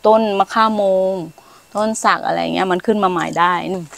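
A middle-aged woman giving an unhurried, explanatory walk around her farm, pointing out trees and their uses, with hesitant fillers. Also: on the low side, fairly even intonation, creaky, a bit bright, slight background noise. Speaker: farm owner showing trees. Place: outdoors on a farm among trees.

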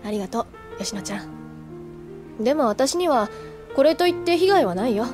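A woman's voice: fairly high pitch, expressive, moderate pace, music in the background, lightly acted. Cool, wistful older schoolgirl played by a woman. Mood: gentle, wistful. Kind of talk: Japanese anime audio drama acting.

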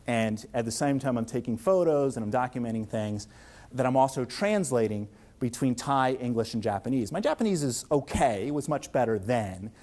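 A man's voice: medium pitch, a little mellow. Enthusiastic middle-aged man lecturing with Philadelphia accent. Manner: enthusiastic, rambling, many restarts and fillers. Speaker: visiting lecturer. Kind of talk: academic seminar talk. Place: seminar room with audience.

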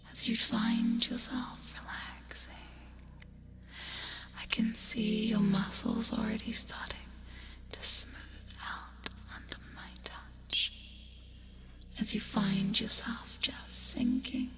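A woman playing a teasing, creepy yet caring sleep paralysis demon in a breathy, soothing ASMR hypnosis roleplay, close to the microphone. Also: a little high, level tone. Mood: soothing, hypnotic. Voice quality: breathy, whispery. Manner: slow, soothing, coaxing with teasing asides. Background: music.

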